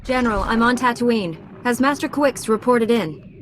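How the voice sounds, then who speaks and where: An actress playing a dutiful Jedi Knight in a video game, speaking in a measured, serious, authoritative way. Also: female voice, a little high, a little hiss or hum, lightly acted. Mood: composed, direct. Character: dutiful female Jedi Knight, earnest and composed. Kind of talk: video game cutscene acting.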